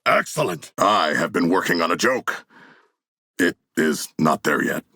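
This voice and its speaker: A gravelly, pompous shark warrior. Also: male voice, low voice, lively intonation, unhurried, bright, forceful tone, no echo, studio quality, heavily acted. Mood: solemn, formal. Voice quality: gravelly, gruff. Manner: stilted, pompous, archaic formal diction. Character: hulking humanoid shark warrior, erudite, formal, bloodthirsty, naively earnest. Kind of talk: video game character voice lines.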